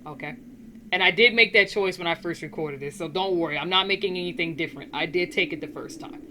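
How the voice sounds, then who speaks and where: A woman hosting a gaming channel, chatting casually and reading a horror game's story aloud in a steady storytelling manner. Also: lowish voice, fast, slight background noise. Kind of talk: reading a visual novel game aloud.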